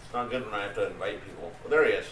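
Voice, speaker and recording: A man's voice: on the low side, racing, fairly bright tone, echoey room, slight background noise, poor audio. A middle-aged man hosting a casual group game raid on stream, chatting playfully with friends and laughing easily. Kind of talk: casual gaming chat with friends. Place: home streaming room.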